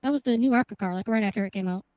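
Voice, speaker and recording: A woman's voice: medium pitch, very fast, a little mellow, no room sound. A woman race driver giving brief, shy answers in a post-race interview.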